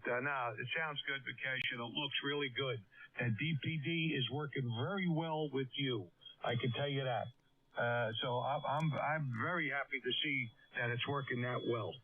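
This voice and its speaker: A man on a ham radio net, chatting casually and repeating words. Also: medium pitch. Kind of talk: casual on-air radio chat. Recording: distant tinny radio audio with faint static.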